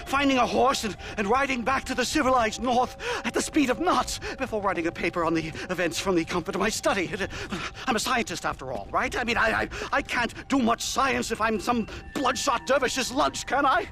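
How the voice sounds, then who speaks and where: An actor playing a nervous, cowardly and wordy scientist in an Old West video game, stammering and pompous. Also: male voice, very high-pitched, brisk, vocal fry, few pauses, sharp tone, full character voice. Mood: anxious, cowardly. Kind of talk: comic video game acting.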